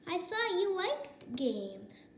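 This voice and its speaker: A woman's voice: very high voice, big pitch swings, extremely slow, voice pushed up, heavily acted. A woman voicing an eager, friendly young female lion cub in a storybook, recorded in an echoey room. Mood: eager, coaxing. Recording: echoey room with faint noise.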